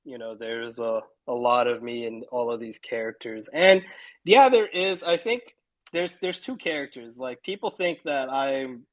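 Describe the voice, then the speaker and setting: A man's voice: mid-range voice, unhurried, rough recording. A man being interviewed about his books in a casual video chat, easygoing and prone to restarts and asides. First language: English. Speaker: author guest.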